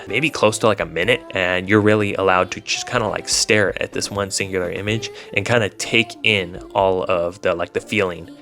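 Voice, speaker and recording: A man's voice: deep voice, sharp tone. A man giving casual, informal commentary as a video host, talking in a rambling, hedging way. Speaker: video host reviewing visual novels. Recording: voice over background music. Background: music.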